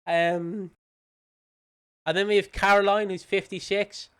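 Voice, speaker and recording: A man's voice: high voice, little pitch movement, measured pace, a bit bright, smooth. A British man co-hosting a podcast in casual chat, giggly and teasing, sometimes reading out notes. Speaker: podcast co-host.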